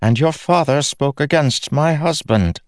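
A man voicing a stern, no-nonsense townlord's wife who talks in a brisk, scolding manner. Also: a little high, dry recording, studio quality, pitched above the performer's own voice, natural voice. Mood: curt.

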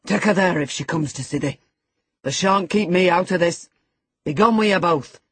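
Woman acting out rough young farmhand, harsh, breathy, dry storybook reading. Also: lowish voice, normal speed, no room sound. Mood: furious. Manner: harsh, gruff.

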